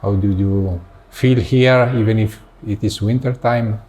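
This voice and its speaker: A man with an Italian accent interviewing a guest, speaking haltingly as he searches for words in English. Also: on the low side, steady tone, a little mellow, low-quality recording. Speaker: interviewer, researcher. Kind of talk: academic interview conversation.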